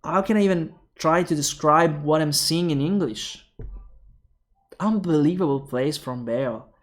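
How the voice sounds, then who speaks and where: A young man with an Italian accent casting a mobile game tournament alone, calling plays quickly and thinking aloud to viewers. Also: a little high. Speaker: esports caster. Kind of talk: solo esports play-by-play commentary.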